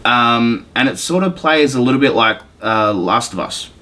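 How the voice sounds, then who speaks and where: A man's voice: medium pitch, expressive, normal speed, sharp tone. A man with a mid-range voice hosts a gaming podcast. He chats casually and explains things in an informal, unpolished way, as if among friends. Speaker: podcast host.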